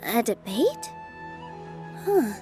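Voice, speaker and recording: A woman voicing a gentle, shy young dancer who sounds soft-spoken and unsure of herself, in a video game with music behind her. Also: a little high, very animated, extremely slow, heavily acted. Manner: soft-spoken and self-doubting.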